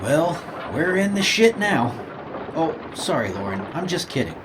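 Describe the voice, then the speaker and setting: A man's voice: a little high, big pitch swings, a little slow, background noise. An actor playing a sarcastic, wisecracking male guest in a horror audio drama. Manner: sarcastic, joking. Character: flippant, wisecracking horny young male guest, Alec.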